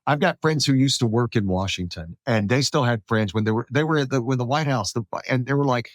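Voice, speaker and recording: An American man hosting a casual conversational podcast, animated and repeating phrases for emphasis. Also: mid-range voice, a little fast, dry recording, clean studio sound. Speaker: podcast host.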